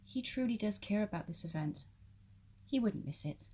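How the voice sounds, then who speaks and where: A woman playing a loyal, protective godmother in an audio drama, speaking slowly and deliberately. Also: mid-range voice, lively intonation, lightly acted. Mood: reassuring. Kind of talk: scripted audio drama acting. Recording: loud noise and clicks, amateur microphone.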